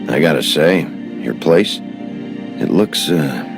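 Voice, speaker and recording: An actor playing a gruff, weary male investigator in a video game, gravelly, terse, sardonic and impatient. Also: extremely low pitch, expressive, takes it slowly, heavily acted. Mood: dry, restrained. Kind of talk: video game drama acting. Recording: loud music bed.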